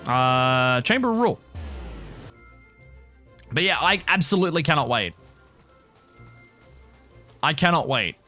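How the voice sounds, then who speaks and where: A man's voice: a little high, very animated, unhurried, long pauses, bright, forceful tone, faint music behind. A man giving casual, rambling live commentary as he streams a game, informal and sweary.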